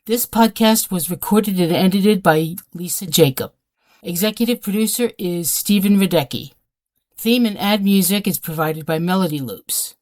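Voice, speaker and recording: Female announcer, slightly low voice, scripted credits read, dry close sound. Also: normal speed, a little mellow, no echo. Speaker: podcast announcer. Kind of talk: scripted outro narration.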